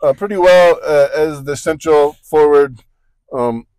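Chatty high-voiced man rambling about his team. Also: unhurried, a little mellow, dry recording, rough recording. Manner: rambling, self-correcting, joking asides. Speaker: podcast co-host. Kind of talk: casual sports podcast chat.

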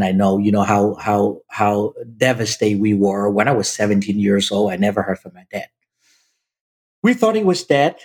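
A man's voice: lowish voice, slightly rough. A man in his fifties from Vietnam, a native Chinese speaker, telling his story emphatically as a podcast guest and often addressing the host directly. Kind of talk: personal storytelling in conversation.

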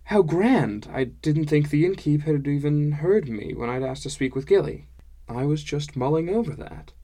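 A man's voice: on the high side, lively intonation, normal speed, warm tone, pitched above the performer's own voice. A man voicing an awkward, smitten young man who speaks earnestly and hesitantly in an audiobook. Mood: flustered, eager. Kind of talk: audiobook character dialogue.

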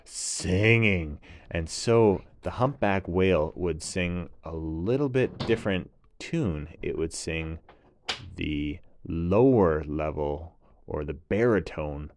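Deep-voiced man, unhurried, gentle children's storyteller, bedtime narration. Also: lively intonation, a little hiss or hum.

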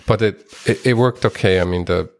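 A man originally from Switzerland hosts a relaxed podcast conversation. His voice sits low and gravelly with a touch of fry. He speaks casually, filling gaps with ums and often starting phrases over. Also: a little fast. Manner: friendly backchanneling, frequent laughs and fillers. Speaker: podcast host.

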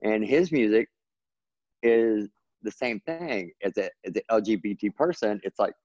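A man's voice: measured pace, no room sound, muffled recording. A man from Texas with a Texan accent, a writer chatting earnestly and at length as an interview guest. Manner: earnest, rambling, gets excited and pitches up. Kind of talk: casual interview chat.

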